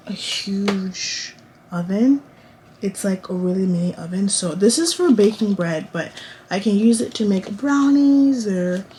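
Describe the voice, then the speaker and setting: A woman's voice: medium pitch, dark tone, a little hiss or hum. A woman in her late teens chatting casually to camera in a college dorm room, rambling with lots of restarts and fillers. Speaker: vlogger.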